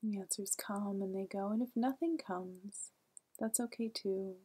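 A woman leading a guided meditation in a calm, gentle, soothing way, with a soft, breathy voice and long pauses. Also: mid-range voice.

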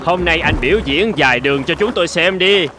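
A man's voice: fairly high pitch, fairly quick, noisy background, close to an everyday voice. A man voicing a boastful, greedy seabird in a cartoon, swaggering and exclaiming. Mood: eager. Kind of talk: cartoon dubbing.

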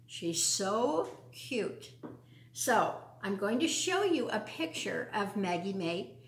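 An older American woman in her sixties or beyond hosting a children's storytime, talking and reading aloud in simple, deliberate phrases for young listeners. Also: mid-range voice, sing-song. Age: 60s or older. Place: living room at home, indoors. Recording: roomy echo.

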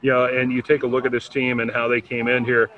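A man who is the team's broadcaster, asking a coach postgame questions in a smooth, stat-filled delivery. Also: mid-range voice, monotone, quick pace, phone-quality sound, slight background noise. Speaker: team broadcaster interviewer.

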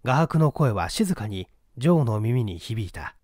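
Lively man reading a mystery novel aloud. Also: medium pitch, moderate pace, no echo, clean studio sound. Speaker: audiobook narrator.

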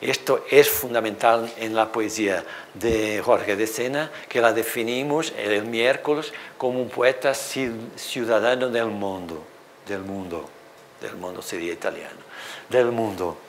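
A middle-aged man with a Portuguese accent, speaking Spanish as a second language, gives an animated university seminar lecture in a hall on a lapel mic, with many fillers. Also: fairly low pitch, measured pace, slight background noise. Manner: animated, gestures at projected slides, thinks aloud with many fillers. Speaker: literature professor.

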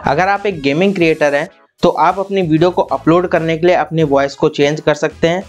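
A man's voice: on the high side, steady tone, a little fast. Man presenting a tech tutorial, faint music. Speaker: tech channel host. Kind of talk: software tutorial narration. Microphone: lapel mic. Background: faint background music.

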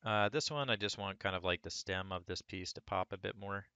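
Low, gravelly man getting over a cold. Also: brisk, no echo. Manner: relaxed, talks through each step as he works. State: hoarse from a cold, voice cracking. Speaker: 3D sculpting instructor. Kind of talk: screen-recorded software tutorial.

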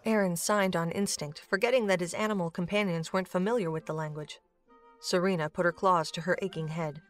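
A woman's voice: lowish voice, fairly even intonation, fairly quick. A woman reading scripted narration for a fantasy audio drama. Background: music.

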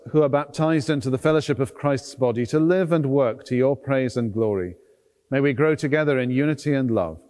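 The long reverb of a big stone church surrounds a middle-aged man of medium pitch. He is a priest leading solemn liturgy, declaiming each short phrase with deliberate care. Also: darkish tone. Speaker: priest, celebrant at a church service. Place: large stone cathedral, at the altar.

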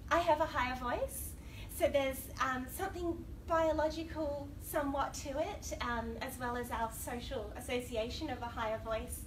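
A woman's voice: high voice, moderate pace. A woman with an Australian accent giving a conference talk with a cold, chatty and laughing often, bantering with the audience. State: has a cold. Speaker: academic panel speaker. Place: lecture room. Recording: distant, noisy room recording.

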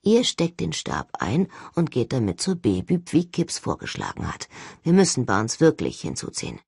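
Daring young guy leading a ghost team narrator doing him, bossy, bit husky, audiobook, dry sound. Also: medium pitch, huge pitch swings, moderate pace, a little mellow, dry recording, heavily acted. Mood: quiet, resolute. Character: daring, stubborn young male ghost-hunting team leader.

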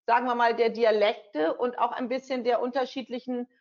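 Higher-voiced middle-aged woman interviewer. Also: steady tone, moderate pace, darkish tone. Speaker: interviewer, language teacher. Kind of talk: conversational interview. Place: indoor room. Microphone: computer mic on video call. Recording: dull, lo-fi video call.

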